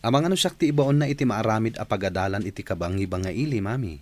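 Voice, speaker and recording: Man acting grown son, lowish voice, Ilocano accent, respectful, radio play dialogue. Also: animated, fast talker, darkish tone, no echo. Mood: earnest. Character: grown engineer son talking respectfully to his mom.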